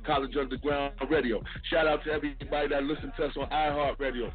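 A man hosting a radio show, giving casual shout-outs and plugs in an informal way with repeated catchphrases. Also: mid-range voice, steady tone, quick pace. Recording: tinny phone-like radio audio, faint music.

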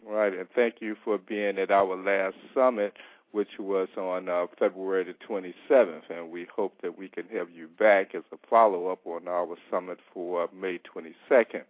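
A man co-hosting a call-in radio show as interviewer, asking rambling, halting questions full of fillers. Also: low voice, steady tone, no echo. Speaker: radio co-host and newspaper reporter. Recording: dry, tinny phone line.

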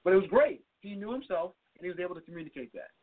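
A man co-hosts a talk show, telling stories from his own life in a chatty, conversational way. He talks fast and sits a little higher than most men. The audio is narrow and thin, like a phone call. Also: expressive. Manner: quick, conversational, tells personal stories. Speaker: co-host.

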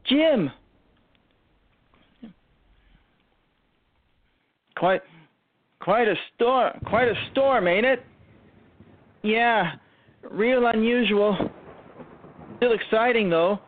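A man voicing an excitable thirteen-year-old boy in an amateur radio drama, exclaiming and stammering over repeated words. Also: high voice, slow pace, pauses often, voice pushed up. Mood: awed, excited. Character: excitable thirteen-year-old boys, frightened yet thrilled by a storm. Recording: tinny, narrow phone-like sound.

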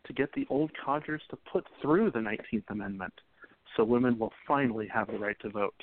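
A man playing a gentle, supportive 1920s sweetheart in a radio play, tender and earnest. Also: on the low side, fairly quick, lightly acted. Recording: tinny phone-like sound.

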